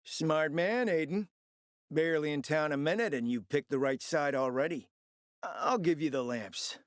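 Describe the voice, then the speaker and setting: A man playing a suave, flirtatious, scheming spymaster in a video game, with a smooth, theatrical, sardonic and teasing manner. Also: on the high side, normal speed, no room sound, clean studio sound, lightly acted. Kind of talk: video game character acting.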